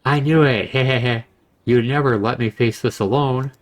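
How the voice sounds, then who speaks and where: A man's voice: medium pitch, moderate pace, voice pushed up, natural voice. A man voicing a brash, money-hungry young adventurer from a visual novel, with slangy, cajoling banter. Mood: cocky, cajoling. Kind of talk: visual novel character reading.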